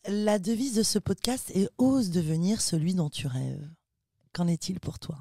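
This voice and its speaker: Mellow low-voiced woman podcast host. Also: measured pace, dry recording, studio quality. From South America. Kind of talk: podcast introduction and interview questions.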